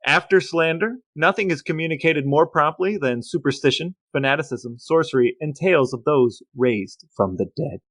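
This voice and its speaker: A man's voice: fairly high pitch, normal speed, hardly any pauses, fairly bright tone, dry recording. A man with an American accent sharing stories in casual podcast conversation, with dry, understated humor. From Elmore, Ohio, United States. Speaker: podcast co-host. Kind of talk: casual chat with researched stories.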